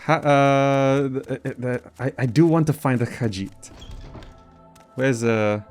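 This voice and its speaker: A man with a mid-pitched voice gives casual game commentary. He rambles and thinks aloud like a host chatting to viewers, with faint music behind him. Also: steady tone, slow pace. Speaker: gaming video host.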